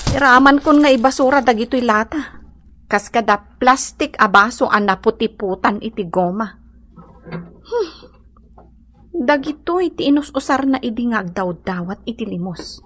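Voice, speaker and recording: A woman's voice: mid-range voice, fairly bright tone. A woman with an Ilocano accent playing a bossy, exasperated grown daughter in a radio drama, speaking emphatically.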